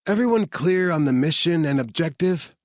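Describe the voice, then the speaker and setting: A man's voice: on the high side, animated, normal speed, darkish tone, no room sound, voice pushed up, natural voice. A man voicing a female team leader giving orders before a raid, his voice raised well above his own. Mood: commanding.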